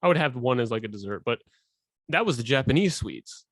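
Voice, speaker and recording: A man co-hosting a casual podcast, blunt and opinionated, who often clears his throat. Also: mid-range voice, expressive, fairly quick, a bit bright, no room sound, studio quality. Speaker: podcast co-host.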